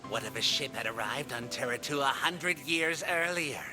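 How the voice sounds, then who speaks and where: A man playing an embittered, centuries-old space explorer turned villain in an English anime dub, speaking in a measured, formal, sardonic way. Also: mid-range voice, lively intonation, natural voice. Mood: smug, menacing. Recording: music behind the voice.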